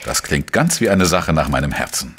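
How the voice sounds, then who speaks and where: A man playing a dogged, wry investigator in a crime radio play, speaking and narrating in a deliberate, reflective way. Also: a bass voice, very animated, a bit bright, husky, exaggerated voice. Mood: probing, calm. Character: dogged, wry male journalist-sleuth narrating his own case. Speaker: lead actor and first-person narrator. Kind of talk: German crime audio drama, narration and dialogue.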